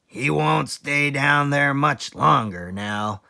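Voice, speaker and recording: A man voicing a gruff, menacing farmer in a children's storybook, speaking with emphasis. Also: mid-range voice, takes it slowly, pushed and bright, no room sound, clean studio sound, voice pushed up, full character voice. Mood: grimly eager. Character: gruff male farmers lying in wait with guns. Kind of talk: children's storybook read-aloud.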